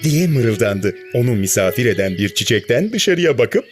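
Animated children's story narrator. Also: mid-range voice, moderate pace. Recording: music behind the narration.

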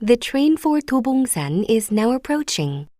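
A woman's voice: fairly high pitch, sing-song, drawn-out words, mellow, no echo. A woman reading short scripted train station announcements, formal and carefully enunciated. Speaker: English-language station announcer. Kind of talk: scripted public transit announcement.

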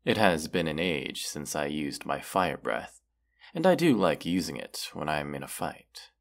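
A man voicing a proud, menacing wounded dragon in a fantasy audio roleplay, speaking in a haughty, deliberate, archaic way. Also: a bass voice, sing-song, exaggerated voice. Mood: menacing musing.

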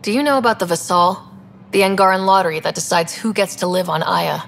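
A capable young space explorer in a sci-fi video game. Her voice sits fairly low and she talks in a practical, earnest way. There is clear ambient noise behind her. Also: brisk, fairly bright tone. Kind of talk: video game dialogue acting.

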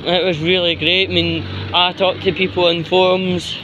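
Scottish male vlogger, slightly high voice, slow halting monologue, outdoor phone audio with faint street noise. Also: little pitch movement, pushed and bright, lo-fi sound. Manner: halting, slow, frequent pauses mid-sentence. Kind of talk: rambling casual walking monologue. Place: outdoors, walking along a street pavement. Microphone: handheld phone while walking.

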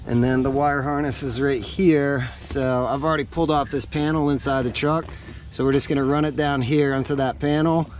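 Regular guy, middle pitch, casual diy walkthrough talking as he works, noisy outdoor action cam audio. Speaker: vlogger showing a DIY truck install. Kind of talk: casual hands-on how-to narration. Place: outdoors in and around a parked truck in a driveway. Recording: clear background noise, vehicle ambience.

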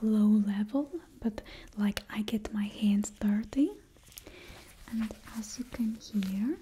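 A young woman in a put-on Russian accent, whispering slowly and closely as a stylist in an ASMR roleplay. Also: mid-range voice. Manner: close, slow whispering with drawn-out words. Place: indoors before a studio backdrop.

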